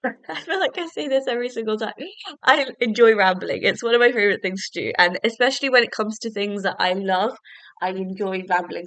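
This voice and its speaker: A woman's voice: medium pitch, fairly bright tone, no echo. A young woman hosting her own podcast, chatting and rambling with lots of fillers, a little bunged up from a cold. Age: young adult. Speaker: podcast host. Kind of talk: casual solo book-review podcast.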